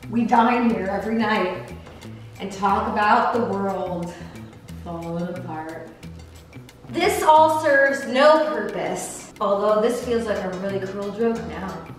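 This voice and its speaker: A young woman giving a joking tour of her own home, self-mocking and deadpan, shifting between bright and flat delivery. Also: fairly high pitch. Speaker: vlogger. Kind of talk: comedic home tour vlog. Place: apartment, indoors. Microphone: camera mic, handheld and at distance. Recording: roomy apartment echo with music behind.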